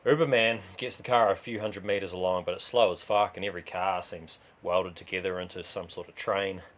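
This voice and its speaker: A man's voice: deep voice, steady tone, fairly quick, fairly bright tone. A man reading a first-person crime story as a dramatised narration.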